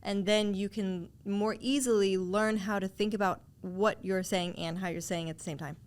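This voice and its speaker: A woman with a voice in the middle of the usual range, talking like a coach. She explains things step by step in a clear, instructive way. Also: medium pitch, steady tone, moderate pace. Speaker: voice coach, guest. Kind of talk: explaining in casual chat.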